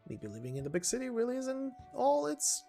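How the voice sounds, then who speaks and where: A man's voice: very high-pitched, wildly swooping pitch, brisk, gravelly, warm tone, soft background music, heavily acted. A man voicing a curious, chatty villager from a sleepy backwater in a game story, musing aloud and greeting politely. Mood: wondering, friendly.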